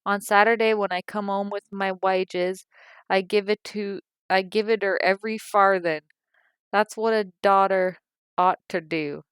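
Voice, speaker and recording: A woman's voice: fairly even intonation, moderate pace, smooth, no room sound, clean studio sound, close to an everyday voice. A woman voicing a cantankerous, self-pitying old working-class mother with a Cockney accent, complaining and scolding. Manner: complaining, shrill when angry.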